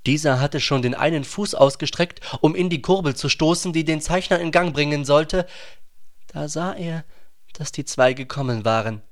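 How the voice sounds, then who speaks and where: Higher-pitched male radio play narrator. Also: normal speed.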